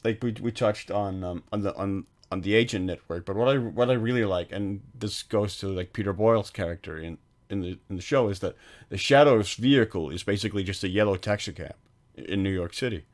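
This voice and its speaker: A man's voice: fairly low pitch, fairly quick. A man co-hosting a film podcast, talking casually and rambling with fillers and restarts.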